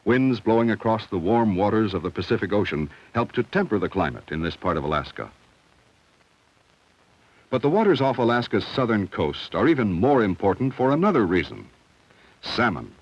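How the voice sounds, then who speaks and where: A man reading scripted narration for a 1970 educational film in a measured, instructional, clearly enunciated way. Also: lowish voice, very animated, no echo. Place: studio voice-over. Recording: dull, dry vintage film soundtrack.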